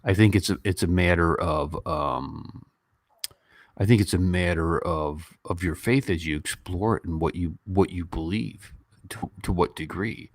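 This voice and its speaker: Deep-voiced man, casual and rambling. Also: a little slow, no room sound. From Western country. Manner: informal, animated when mimicking others, rambling. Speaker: YouTube host. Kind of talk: casual livestream chat and commentary.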